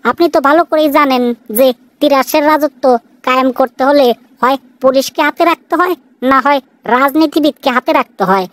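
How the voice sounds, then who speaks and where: A woman's voice: sits high, dry recording, lightly acted. A woman voicing a respectful, inquisitive cartoon police sub-inspector, chatty and explanatory, with a Bangladeshi accent. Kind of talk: cartoon dialogue.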